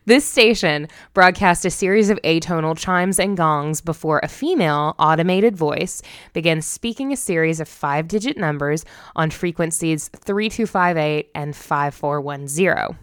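An American woman with a low, clean, resonant voice, recorded close and dry. She sounds like a podcast host, measured when she narrates and casual when she chats. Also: smooth, no echo. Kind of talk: scripted narration with casual banter.

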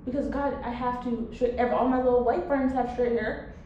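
A woman's voice: fairly high pitch, fairly even intonation, normal speed, lo-fi sound, a little hiss or hum. A woman guest on a podcast who talks bluntly, swears often and laughs a lot. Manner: blunt, profane, frequent laughter. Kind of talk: casual podcast chat. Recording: very echoey room.

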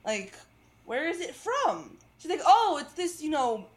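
A woman's voice: high voice, unhurried. A woman co-hosting a podcast, joking and telling stories in a casual chat. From United States. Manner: joking, animated storytelling. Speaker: podcast co-host.

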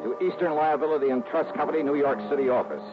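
An actor playing a tough, dogged American insurance investigator in a 1960s radio drama, clipped, brusque and slangy. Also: male voice, on the high side, fast, music in the background, natural voice. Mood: wry, brisk. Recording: noisy, narrow-band old radio recording.

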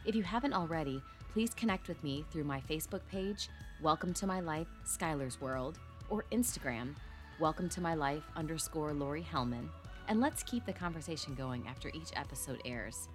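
A woman hosting a parenting podcast, slightly hoarse, talking earnestly with fillers. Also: low-pitched, expressive, moderate pace, faint music behind. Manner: earnest, apologetic asides with fillers. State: slightly hoarse after illness. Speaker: podcast host. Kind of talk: podcast intro and interview.

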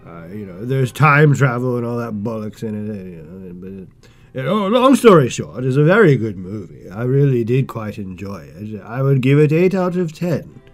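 A man voicing a pompous, self-important British royal ex-broadcaster, halting and mumbling as he rambles through a comedic podcast movie review in a British English accent. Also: mid-range voice, big pitch swings, soft background music. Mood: pompous, rambling. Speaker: podcast host.

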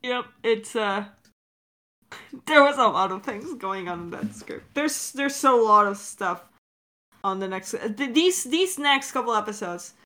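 Effusive woman with lively, swooping intonation. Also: fairly high pitch, a little slow. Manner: effusive, informal, sweary. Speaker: director and Q&A host. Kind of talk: casual chat.

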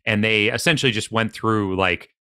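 Bright, low-voiced man in casual chat. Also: normal speed, smooth, no room sound, clean studio sound. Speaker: podcast guest and co-host of another podcast.

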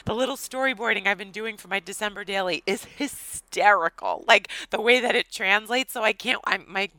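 A woman co-hosting a casual podcast chat, her voice slightly scratchy and tired, talking in a chatty, animated way. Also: mid-range voice, dry recording. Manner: chatty, animated, quick laughs. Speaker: podcast co-host.

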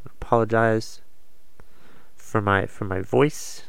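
A man's voice: fairly low pitch, steady tone, slow, gravelly, clear, resonant tone, dry recording. A man with a cold, slightly congested, hosting his own podcast in a rambling, self-deprecating, casual way. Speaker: podcast host. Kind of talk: casual solo podcast monologue.

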